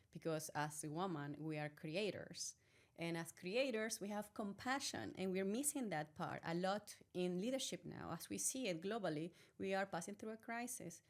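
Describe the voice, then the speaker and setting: Woman, podcast host, lowish pitch, earnest, long heartfelt questions. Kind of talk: interview hosting.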